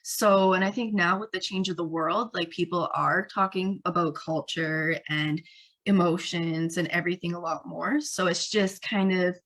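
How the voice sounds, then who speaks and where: Woman guest on a podcast, lower pitch but bright sharp tone, lots of "like". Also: fairly even intonation, moderate pace. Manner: casual, filler-heavy storytelling. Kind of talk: casual interview chat.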